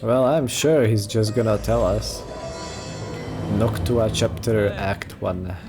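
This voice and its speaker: A woman's voice: a bass voice, slow. A woman doing casual let's play commentary, musing to herself and tossing in remarks over the game. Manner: musing to self, interjecting. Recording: game music playing behind.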